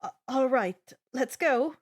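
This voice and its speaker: A woman's voice: high-pitched, slow pace. A woman voicing an earnest teenage demigod boy in a casual, hesitant manner. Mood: awkward, nervous.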